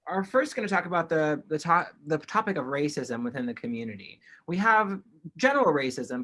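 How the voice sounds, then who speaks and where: A male interpreter with a bright, forceful tone, voicing a panel's signed remarks in the first person. He relays steadily, often restarting a phrase mid-sentence, over an online call mic. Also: on the high side. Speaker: sign language interpreter.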